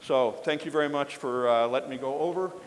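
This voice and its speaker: A man presenting at a public forum and introducing the next speaker, talking haltingly with many fillers and restarts. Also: medium pitch, big-room sound, slight background noise.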